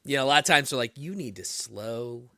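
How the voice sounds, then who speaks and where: A man from the US Northeast hosting a podcast interview, talking fast, loud and direct in a casual way. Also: medium pitch, dry recording, clean studio sound. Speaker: podcast host.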